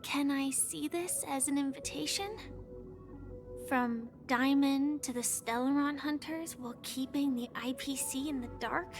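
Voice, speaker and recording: An actress voicing a calm, guarded young woman warrior in a video game, speaking softly, seriously and carefully. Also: sits high, measured pace, music in the background. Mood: calm, questioning. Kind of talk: scripted game dialogue.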